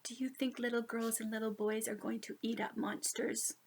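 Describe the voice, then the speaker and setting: A woman reading a picture book aloud to young children, expressive, with drawn-out emphasis on key words. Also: fairly high pitch, slight background noise. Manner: animated, drawn-out emphasis, asides to listeners. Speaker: children's story reader.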